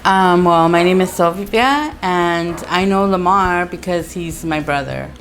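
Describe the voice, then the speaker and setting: A woman's voice: lowish voice, measured pace. A woman speaking plainly and reflectively about her family in a documentary interview. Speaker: interviewee, sister. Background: soft music.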